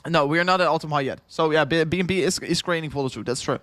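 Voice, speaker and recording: A man's voice: on the high side, racing, a bit bright, no echo. A young Dutch man with a Dutch accent, hosting a long crypto livestream in casual chat, rambling and repeating himself, tired after hours on air. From Netherlands. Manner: rambling, repeats himself, chuckles often, reads chat aloud.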